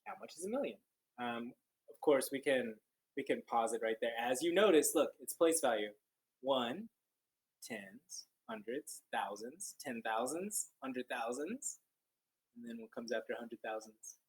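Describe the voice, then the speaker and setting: A man reading a children's book aloud like a teacher, expressive and playful, drawing out key words and dropping in casual joking asides. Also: medium pitch, a little slow, rough recording. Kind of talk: children's storybook read-aloud with casual commentary.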